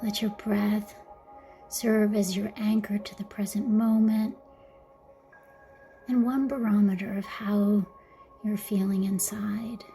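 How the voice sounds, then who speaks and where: Slow, mellow woman guiding meditation. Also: medium pitch, little pitch movement, faint music behind, rough recording. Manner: gentle, soothing, softly spoken with breathy phrase endings. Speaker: meditation guide. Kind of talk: guided mindfulness meditation narration.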